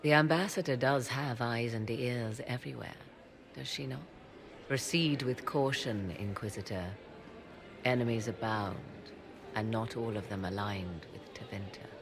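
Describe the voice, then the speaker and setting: An actress playing a mysterious female witch advisor at court, speaking in an arch, archaic, measured way. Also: very deep voice, a crowd in the background. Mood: cool. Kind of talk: video game dialogue. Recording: game audio with music underneath.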